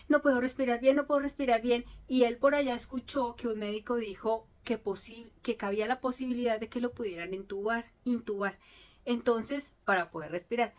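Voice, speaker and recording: A woman's voice: a little high, darkish tone. A middle-aged woman, a native Spanish speaker, telling a personal story in an earnest, emotional way, with frequent restarts. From Colombia. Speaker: vlogger telling her story.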